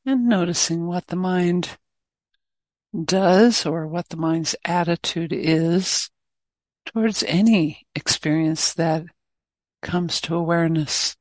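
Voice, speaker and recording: A woman teacher leading a guided meditation, speaking in short, gently inviting phrases with pauses between them. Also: lowish voice, no room sound.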